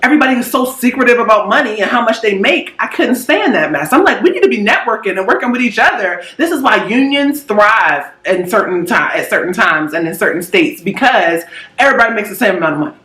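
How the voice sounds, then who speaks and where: A woman co-hosting a casual chat, lively and outspoken, steering the talk with questions. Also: medium pitch, fast talker, roomy sound. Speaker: co-host.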